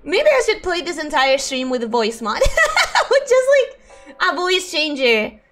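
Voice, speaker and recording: A young woman streaming casually, her voice hoarse and ragged from sickness, giggling often as she reads chat and greets viewers. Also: sits high, sing-song, measured pace, a bit bright, soft background music.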